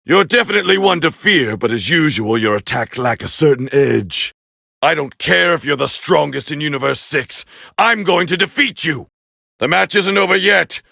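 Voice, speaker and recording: A man playing a proud, hot-tempered alien warrior prince with a raspy voice, calling fights with scorn in an anime video game dub. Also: on the high side, lively intonation, moderate pace, no room sound, clean studio sound, heavily acted. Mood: irritated, defiant, then scornful, appraising. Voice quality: gravelly, raspy. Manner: haughty, scornful, biting, forceful. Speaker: fight commentator character.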